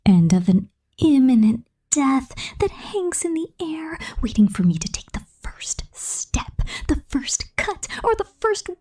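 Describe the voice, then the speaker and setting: A woman voicing a sadistic, possessive killer robot in an ASMR roleplay, breathy and often whispering, with a menacing, taunting, drawn-out delivery. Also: high voice, very animated, warm tone. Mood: gloating. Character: sadistic, possessive female killer robot drone toying with prey.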